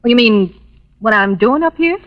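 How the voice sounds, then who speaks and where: Actress doing a flustered young secretary, high voice, polite and hesitant, muffled old radio show. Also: female voice, expressive, normal speed, lightly acted. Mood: flustered, defensive. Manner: hesitant, explaining herself. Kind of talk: old-time radio drama acting. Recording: dull old radio recording.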